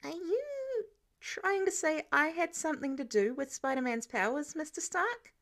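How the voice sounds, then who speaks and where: Woman voicing a high-pitched, polite, nervous young man. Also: lively intonation, measured pace, fairly bright tone, heavily acted. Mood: polite concern. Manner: polite, hesitant, feigning confusion. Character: young man, polite, nervous, evasive secret superhero feigning innocence. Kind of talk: audiobook character dialogue.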